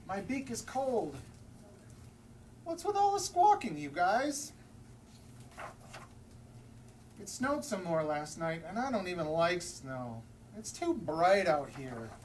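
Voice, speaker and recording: A man voicing a grumpy, whiny penguin who complains peevishly in a children's picture-book read-aloud. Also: high-pitched. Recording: distant mic, faint background noise.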